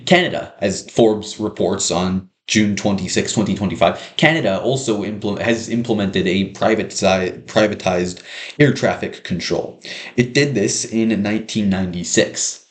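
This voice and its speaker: A man's voice: fairly low pitch, measured pace. A young man delivering a prepared persuasive speech in an earnest, explanatory manner, like a student speaker. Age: young adult. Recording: roomy, echoing sound.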